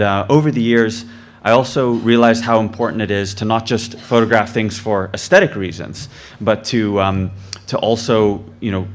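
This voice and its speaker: A young man born in the UK gives an earnest self-introduction at a mic, relaxed and loose, with plenty of ums and uhs. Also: fairly low pitch. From born in the UK, raised in many countries. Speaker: photographer and filmmaker. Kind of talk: short self-introduction speech. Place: club event hall, talking at a mic.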